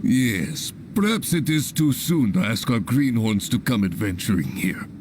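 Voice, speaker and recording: An actor playing a hearty, pompous, long-winded leader of adventurers in an anime-style video game, speaking in grand theatrical speeches. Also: male voice, mid-range voice, lively intonation, measured pace, background noise, close to an everyday voice. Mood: grandly inspired.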